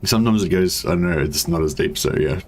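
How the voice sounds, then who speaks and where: A man with a deep voice giving casual, rambling commentary while playing a strategy game. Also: quick pace. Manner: rambling, relaxed, many fillers. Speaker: gaming streamer. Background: faint game music.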